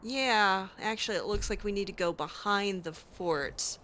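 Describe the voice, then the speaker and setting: Female let's player, in-character and self-talking; clear forward tone, leisurely delivery, light background ambience. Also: mid-range voice, a little slow, bright, forceful tone, a little hiss or hum. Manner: talks aloud to herself, musing. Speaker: let's play host roleplaying her character. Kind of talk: in-character improvised game narration.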